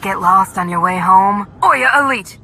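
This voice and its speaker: A woman playing a hot-tempered, defiant gang leader in a video game, sneering and forceful. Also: mid-range voice, measured pace, gravelly, soft background music. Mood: scornful, defiant. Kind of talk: video game cutscene acting.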